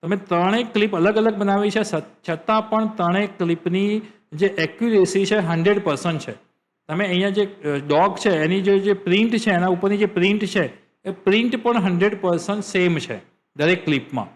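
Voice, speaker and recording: A man's voice: high voice, brisk. A man with a Gujarati accent hosting a screen-share tutorial, explaining step by step and repeating phrases for emphasis. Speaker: tutorial host.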